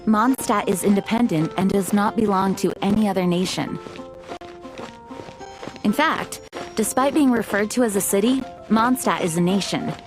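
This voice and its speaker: A woman's voice: medium pitch, moderate pace, music in the background, lightly acted. A woman playing an earnest, dutiful young knight commander in a video game, speaking with formal, composed authority. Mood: serious, measured.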